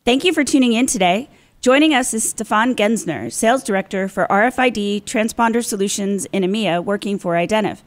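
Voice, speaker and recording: A woman's voice: mid-range voice, a little fast. A woman hosting a podcast interview, delivering prepared introductions and questions. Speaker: podcast host.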